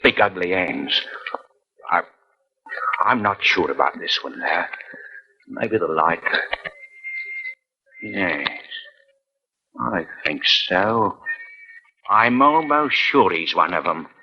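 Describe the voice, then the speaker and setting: An actor playing an agitated, embittered assault victim burning for revenge, halting and then bursting out, in an old-time radio drama. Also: male voice, sits low, lively intonation, husky, heavily acted. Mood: hesitant, tense. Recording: muffled old radio broadcast.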